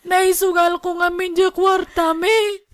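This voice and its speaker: A woman acts out an easily led young wife in a radio drama. The voice is extremely high and unhurried, with an Ilocano accent and a hesitant, timid way of speaking. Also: little pitch movement. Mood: tearful, ashamed.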